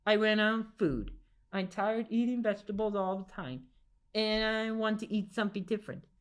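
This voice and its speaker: A woman voicing a hungry, silly old lady from a storybook, stubborn but timid, in an excitable, exclaiming way. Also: medium pitch, moderate pace, clean tone, pitched above the performer's own voice, close to an everyday voice. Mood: frightened, pleading. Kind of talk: storybook character voice.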